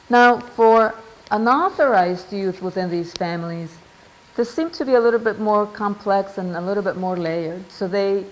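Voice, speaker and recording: A middle-aged woman giving an academic seminar talk, measured and thoughtful, often pausing with "um" and checking in with "right?". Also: mid-range voice, darkish tone, low-quality recording. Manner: measured, reads out quotes, frequent ums and "right?". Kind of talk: academic lecture.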